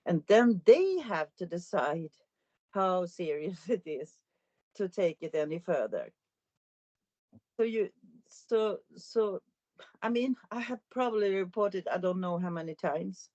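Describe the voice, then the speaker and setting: Halting Swedish politician on a video call. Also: female voice, fairly low pitch, very animated, mellow, no room sound. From Sweden. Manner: halting, with many restarts. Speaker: local politician. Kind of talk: webinar testimony. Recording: dry, dull video call audio.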